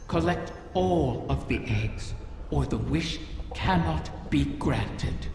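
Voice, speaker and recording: A woman playing the spirit of an ancient, proud, cunning female dragon in a video game, speaking slowly and formally with breathy, gravelly edges. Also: sits low, animated, warm tone, heavily acted. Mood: solemn. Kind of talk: dramatic video game monologue. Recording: cavernous echo, long reverb, noisy ambience.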